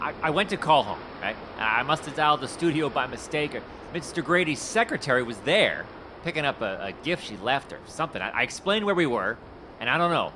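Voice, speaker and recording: An actor playing a folksy, fast-talking male Hollywood talent scout with a salesman's patter in an audio drama. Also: a little high, background noise, close to an everyday voice.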